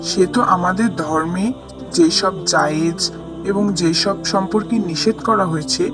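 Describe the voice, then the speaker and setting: A high-pitched fatherly cartoon voice, played by a man. He is affectionate and patient, coaxing and instructing over loud music. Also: moderate pace, natural voice. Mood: patient, instructive. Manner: coaxing, didactic. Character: affectionate, patient father teaching his child morals. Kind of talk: scripted cartoon dialogue.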